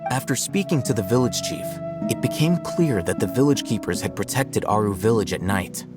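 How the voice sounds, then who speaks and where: A man voicing a calm, aloof young male scholar in a video game, measured and dry. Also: fairly low pitch, natural voice. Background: music.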